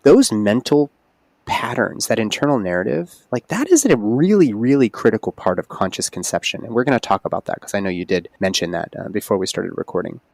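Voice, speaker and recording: A man's voice: lowish voice, a little fast, gravelly, dry recording. A man speaking as a holistic OB-GYN guest on a podcast, explaining earnestly in casual conversation. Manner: earnest, explanatory, animated emphasis.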